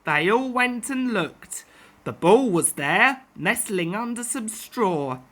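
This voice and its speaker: A woman storyteller reading a children's tale aloud in an expressive narrating style. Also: medium pitch, slow pace.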